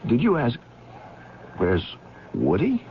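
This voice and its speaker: An actor playing an uneasy, earnest friend in a 1970s radio drama, halting and evasive in his talk. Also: mid-range voice, wildly swooping pitch, full character voice. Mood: taken aback. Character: uneasy, hesitant male friend of a troubled wife. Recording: muffled, faintly noisy old radio recording.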